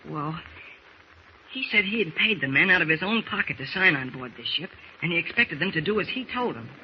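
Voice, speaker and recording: An actor playing an earnest, anxious young lad in an old-time radio drama, speaking hesitantly. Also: male voice, on the high side, expressive, brisk, lightly acted. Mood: reluctant, confiding. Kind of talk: 1940s radio acting. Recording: dull, hissy old radio recording.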